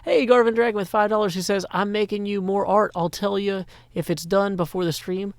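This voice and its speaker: A man's voice: high voice, fairly even intonation, brisk, dry recording. A middle-aged man from Nashville, chatting playfully on a live stream and sounding a little tired. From Nashville, Tennessee. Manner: playful, rhyming asides and long lists of greetings. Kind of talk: casual live-stream chat. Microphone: microphone on a stand.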